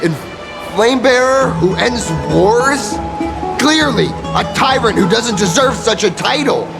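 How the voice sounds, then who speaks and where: A woman's voice: a little high, lively intonation, a little slow, gravelly. A woman voicing a bitter, hot-tempered rebellious citizen in a video game, scornful and accusatory. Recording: music under the voice.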